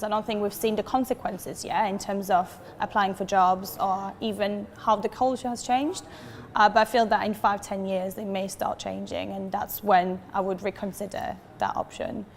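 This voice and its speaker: A woman's voice: mid-range voice, in a large hall. A woman in her twenties from Switzerland, answering interview questions in an earnest, enthusiastic way, leaning on emphatic words. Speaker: interviewee, advertising professional. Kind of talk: talking-head interview answers.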